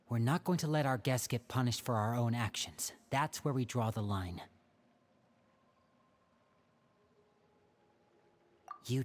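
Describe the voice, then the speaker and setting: A man plays an earnest, protective young man from an anime game. His mid-pitched voice is measured and cautious, with a resolute core. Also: close to an everyday voice. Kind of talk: anime video game voice acting.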